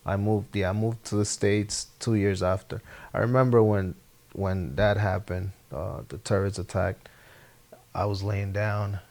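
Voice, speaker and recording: A young man with a Spanish accent, speaking English as a second language as a guest in a relaxed interview, halting and repeating his words. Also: fairly low pitch, vocal fry. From Honduras. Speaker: podcast guest, former soccer player. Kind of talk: casual interview chat.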